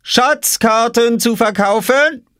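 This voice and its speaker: A cheeky little boy acted out by a man, pitched well up and very lively. He is eager and stubborn, sounding proud of his big schemes, in the manner of a children's storybook, recorded dry and close. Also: moderate pace, dry recording. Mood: triumphant, cheeky. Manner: eager, triumphant.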